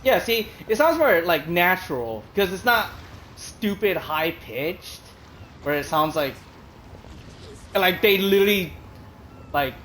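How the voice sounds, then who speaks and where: A man's voice: high voice, sing-song, slow, pauses often, a bit bright, slight background noise. A man streaming a game and chatting casually, rambling with lots of fillers and the odd curse word, in a hot room with a fan. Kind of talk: casual commentary while playing a game.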